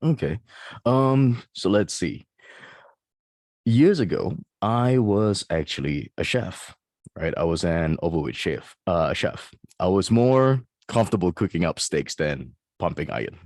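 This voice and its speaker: A man with a deep, resonant, bassy voice, a fitness coach telling his story in an interview. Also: expressive, a little slow, darkish tone, dry recording, studio quality. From Malaysia.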